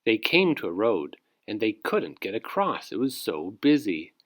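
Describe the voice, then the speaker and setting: A man narrating a children's storybook aloud in an expressive read-aloud style. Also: lowish voice, measured pace. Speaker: storybook reader.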